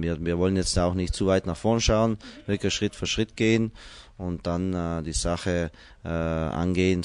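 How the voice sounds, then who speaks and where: A middle-aged man, a head coach, answering interview questions indoors into a handheld mic, measured and hesitant with frequent fillers. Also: low-pitched, darkish tone, clear, resonant tone. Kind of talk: post-training interview. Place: indoor press wall, small room. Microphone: handheld mic held by interviewer.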